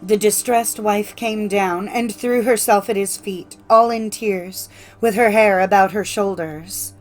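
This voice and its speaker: Female narrator for classic fairy tales: medium pitch, formal scripted storytelling over music. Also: steady tone, a little slow, rough recording. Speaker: audiobook narrator.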